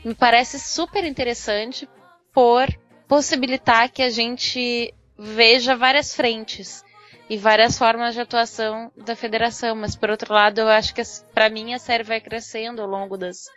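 A woman's voice: fairly high pitch, little pitch movement, normal speed, a bit bright, highs cut off, faint music behind. A woman with a Brazilian accent talking casually as a podcast panelist, thinking her points through with hesitant phrasing. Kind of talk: casual discussion.

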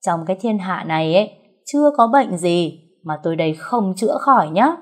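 A woman's voice: lowish voice, animated, a little fast. A woman voicing a brash, cheeky young female fortune-teller who talks boastfully and colloquially in an audiobook story.

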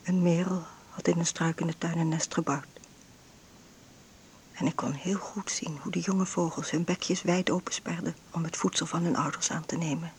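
An actress playing a frail, ailing bedridden mother in an intimate radio drama monologue, breathy and often near a whisper, with halting short phrases. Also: lowish voice, fairly even intonation, a little mellow, a little hiss or hum, natural voice. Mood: weary, reflective. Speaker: actress narrating in a radio play.

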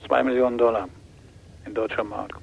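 An actor playing a weary, anxious man relaying kidnappers' demands over the telephone in a radio play, halting and hesitant. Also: medium pitch, little pitch movement, gravelly, breathy edge. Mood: tired, hesitant. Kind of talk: German radio play acting. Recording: dull, noisy telephone line.

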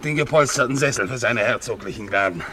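Male actor as blustering, servile publican for old radio play; medium pitch, hard bright tone. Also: fast, lightly acted. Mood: bustling, servile. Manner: blustering with equals, servile with betters. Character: coarse, obsequious tavern keeper and father, Tom Parsons. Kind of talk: 1950s German radio play acting.

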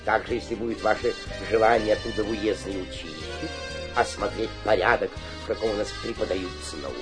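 An actor playing an obsequious, cunning provincial mayor in a theatrical radio play, flattering and slyly suspicious, with a husky voice. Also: male voice, lowish voice, fairly quick, over music, close to an everyday voice. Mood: eagerly hospitable. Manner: fawning flattery with muttered suspicious asides. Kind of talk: theatrical radio drama acting.

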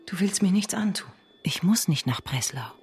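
Radio drama: actress as troubled, steadfast female revolutionary, intimate and earnest, clear music underneath. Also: lowish voice, expressive, moderate pace, a little mellow, lightly acted. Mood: anguished, whispering. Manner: earnest, intimate, often dropping to a whisper. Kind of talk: German radio drama acting.

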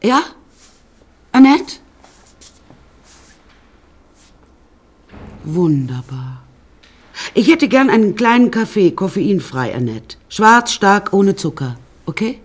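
A woman's voice: mid-range voice, big pitch swings, slow. An actress playing a flamboyant, unsinkable woman who narrates her life in a radio play in a wry, chatty, theatrical way. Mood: coaxing, pleased.

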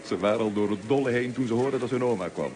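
A man's voice: mid-range voice, quick pace, darkish tone, close to an everyday voice. An actor playing a good-humoured young husband and father in a Dutch radio play, polite and bantering. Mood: amused. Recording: noisy old radio play recording.

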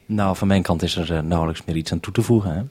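A man's voice: low voice, fairly even intonation, fast, a little mellow, a little hiss or hum. Een man die als radiopresentator onvoorbereid en wat zoekend een radioverbinding leidt, informeel van toon. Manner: informal, hesitant, uses radio procedure. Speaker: radio presenter running the link. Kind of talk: unscripted radio hosting.

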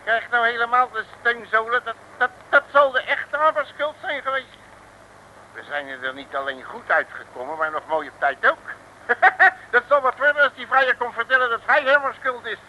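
A man's voice: very high voice, normal speed, sharp tone, exaggerated voice. An actor playing a folksy, jovial male detective in a 1950s radio serial, talking in jocular slang. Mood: jovial bluster. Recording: noisy old radio recording.

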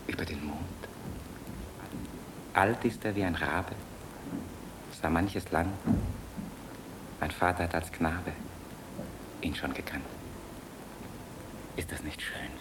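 A fighter pilot and husband in a German radio play. His voice is low, and he speaks softly and reflectively, weary and full of tender doubt. There is clear noise in the background. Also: takes it slowly, pauses often. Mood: wistful, troubled. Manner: soft, hesitant, reflective. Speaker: actor. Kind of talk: German radio drama acting.

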